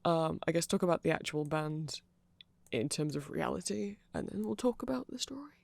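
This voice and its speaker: A man voicing a nerdy, friendly vampire in a casual ASMR roleplay, soft-spoken and rambling haltingly close to the microphone. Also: on the high side, animated, creaky, no echo. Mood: rambling, unsure. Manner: halting, self-deprecating rambling with small laughs. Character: nerdy, hesitant, friendly male vampire music fan. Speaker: ASMR audio roleplay performer.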